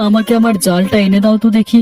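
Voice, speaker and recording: A man's voice: high-pitched, level tone, fast talker, warm tone, lightly acted. A man voicing an anxious, humble village farmer in a fairy-tale cartoon, speaking in a fretful, plaintive way. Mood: concerned. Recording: distant-sounding voice over music bed.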